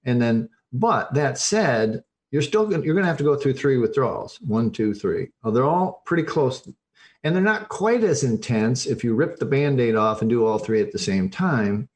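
An older man speaks as an interview guest in a mid-pitched voice. He explains things like a coach, plainly and emphatically, driving points home in short bursts. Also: lively intonation, normal speed, a little mellow.

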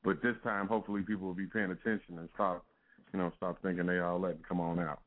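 A man's voice: deep voice, level tone, fast talker. A man, a music artist guest, talking in a laid-back casual way with frequent laughs and fillers over a phone call. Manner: laid-back, laughs often, fillers like "you know what I'm saying". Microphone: telephone. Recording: phone-line call-in audio.

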